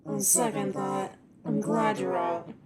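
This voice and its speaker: A woman's voice: mid-range voice, fairly even intonation, slow, over music, lightly acted. A woman playing a cocky, teasing werewolf in a scripted audio roleplay, sardonic and protective with an edge of menace, speaking to the listener. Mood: concerned, muttering. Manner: sardonic, taunting, playful.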